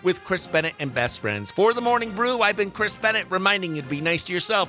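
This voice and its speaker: A man's voice: high-pitched, expressive, fast talker, pushed and bright, soft background music. A man hosting a radio morning show in a casual, chatty, jokey way. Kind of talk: casual phone-in chat and show patter.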